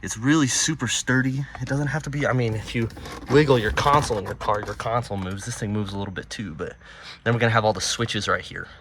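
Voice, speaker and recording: A man's voice: fairly low pitch, fast. A man giving an easygoing, unscripted show-and-tell of a DIY project in an offhand, casual way. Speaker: vlogger showing a DIY car build. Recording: clear background noise and ambience.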